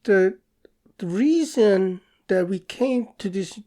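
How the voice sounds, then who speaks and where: A middle-aged man from South Korea with a Korean accent, answering interview questions in a halting way, with pauses. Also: high voice, expressive, warm tone. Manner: slow, halting, with pauses and restarts. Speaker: guest artist and professor.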